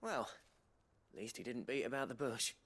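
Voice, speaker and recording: An actor playing a loyal, plain-spoken companion in a video game, earnest and informal. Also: male voice, medium pitch, moderate pace, fairly bright tone, slight background noise, lightly acted. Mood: encouraging. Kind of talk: video game drama acting.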